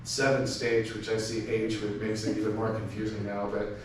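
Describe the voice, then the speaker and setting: A man's voice: on the low side, level tone, moderate pace. A man giving an informal amateur radio club presentation, chatty, with lots of fillers and restarts. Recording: far-off mic in a very echoey room with faint noise.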